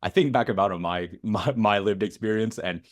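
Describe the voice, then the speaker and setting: A man's voice: fairly low pitch, normal speed. A man from the United States hosting a podcast, reading a scripted intro and then asking questions with lots of hesitations. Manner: hesitant, many fillers and restarts in questions. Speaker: podcast host. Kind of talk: casual interview chat.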